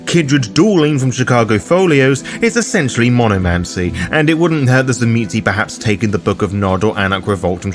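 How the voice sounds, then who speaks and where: A man hosting a game guide, reading scripted narration in an instructive way that speaks straight to the listener. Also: medium pitch. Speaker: host of a roleplaying game guide.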